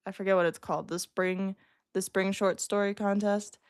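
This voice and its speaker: Chatty giggly woman on a writing stream, mid pitch, self-deprecating, dry close audio. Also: little pitch movement, moderate pace, clean tone, no echo. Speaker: writer, livestream co-host. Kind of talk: casual chat.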